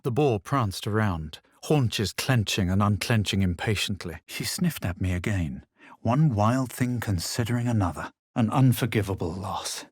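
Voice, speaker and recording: An actor playing a self-assured, haughty fantasy adventurer in a video game, husky and dramatic in delivery. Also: male voice, lowish voice, animated, dry recording, clean studio sound. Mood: grave, measured. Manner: measured, dramatic, superior. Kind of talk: scripted game character lines, first-person narration and spell incantations.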